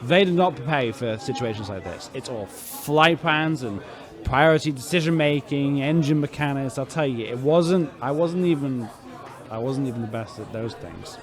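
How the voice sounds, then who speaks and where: An actor playing a self-doubting, poetic spaceship captain in a sci-fi radio drama, rambling theatrically. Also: male voice, medium pitch, lively intonation, normal speed, a faint crowd, natural voice. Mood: despairing, self-pitying.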